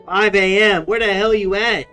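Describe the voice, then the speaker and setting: A man's voice: high-pitched, fairly even intonation, normal speed, sharp tone, clear, resonant tone. An American man chatting casually and in slang while live-streaming a game. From United States. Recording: game music behind the voice.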